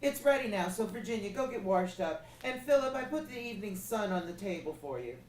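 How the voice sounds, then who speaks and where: A woman playing a brisk, fussing housewife and mother in a stage radio play, scolding and calling out. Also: mid-range voice, animated, a little mellow, close to an everyday voice. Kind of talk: stage radio drama acting. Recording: echoey theatre, far from mic, faint noise.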